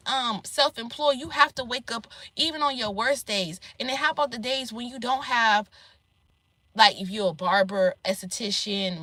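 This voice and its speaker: A woman with a squeaky, fairly high voice that has a sharp, bright edge. She talks straight to camera in a blunt, preachy way and swears freely. The sound is dry and close. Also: no room sound. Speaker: self-employed vlogger giving life advice. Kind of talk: casual monologue to camera.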